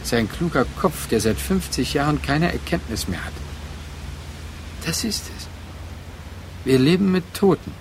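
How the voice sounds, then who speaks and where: An actor in a literary radio drama playing a brooding man who addresses his remembered former lover in halting, reflective phrases. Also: fairly high pitch, lively intonation. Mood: musing. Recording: clear noise behind the voice.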